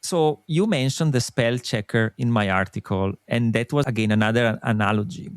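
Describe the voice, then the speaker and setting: A middle-aged man from Italy with an Italian accent, a guest in an interview, explaining his views in an earnest, animated way. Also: medium pitch, normal speed, clear, resonant tone, dry recording, studio quality. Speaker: podcast company co-founder, guest. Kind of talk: interview conversation.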